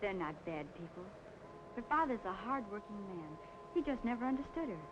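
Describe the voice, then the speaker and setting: A soft-hearted young woman of nineteen, played by an actress in a noir radio drama. Her voice comes through a muffled old recording with plenty of noise. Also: mid-range voice, sing-song, brisk, lightly acted. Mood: gentle, concerned.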